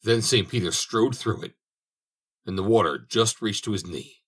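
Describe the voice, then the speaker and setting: Deep, husky storybook reader, man. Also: normal speed. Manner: expressive storytelling. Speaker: audiobook reader. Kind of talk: reading a fairy tale aloud.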